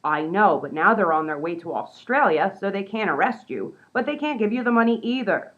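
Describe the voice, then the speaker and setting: A woman's voice: medium pitch, expressive, a little fast, fairly bright tone, lo-fi sound. A woman playing a tough, exasperated gangster sidekick in a radio comedy, nagging in old-time mobster slang. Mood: scolding. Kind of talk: comedy radio play acting.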